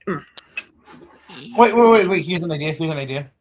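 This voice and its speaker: A man chatting casually in a group, speaking in a halting way and repeating himself. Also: on the high side, lively intonation, slight background noise.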